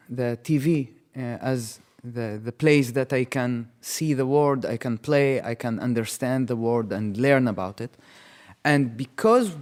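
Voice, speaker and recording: Halting man. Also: medium pitch. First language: Arabic. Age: 30s. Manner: halting, repeats words as he thinks. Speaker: interview guest. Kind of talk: reflective personal storytelling.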